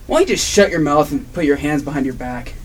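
A man's voice: on the high side, expressive, fast talker, lightly acted. A man playing a hot-tempered, vengeful, confrontational police detective in an amateur old-time radio drama, on a noisy recording. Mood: accusing. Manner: confrontational, accusing.

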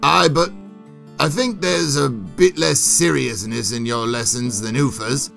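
A man voicing a gruff, hearty old soldier and father with blunt, rustic dialect in a fantasy audiobook, music behind. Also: medium pitch, a little slow, fairly bright tone. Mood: wry grumbling.

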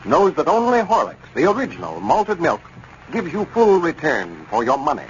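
A man's voice: a little high, wildly swooping pitch, moderate pace. A man with an American accent announcing and reading scripted advertising in 1930s radio style. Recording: muffled, hissy 1930s radio recording.

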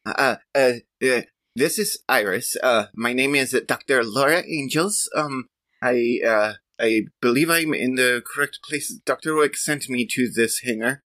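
A man voicing an awkward, mousy woman scientist in her late thirties in a tabletop game, polite, formal and halting. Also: mid-range voice, sharp tone, no echo, voice pushed up.

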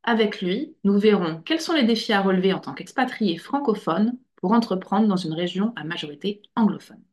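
A woman's voice: medium pitch, expressive, normal speed, darkish tone, slight background noise. A woman hosting a business podcast, welcoming her guest and asking brief, direct questions. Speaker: podcast host, marketing advisor.

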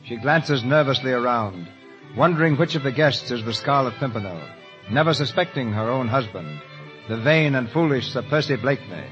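A man's voice: mid-range voice, animated, moderate pace, a bit bright. A man giving dramatic scripted narration for a 1930s radio play. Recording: muffled 1930s radio recording. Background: music.

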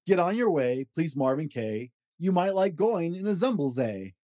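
A man's voice: on the high side, very animated, moderate pace, dark tone, dry recording, studio quality. A man hosting a children's story podcast, reading aloud expressively with an emphatic, rhythmic delivery.

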